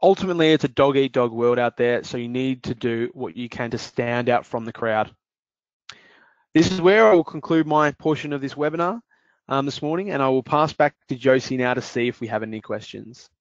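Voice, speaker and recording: A man walking through a software demonstration in a webinar, explaining steps with frequent fillers. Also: mid-range voice, brisk, no echo, lo-fi sound. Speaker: software demo presenter.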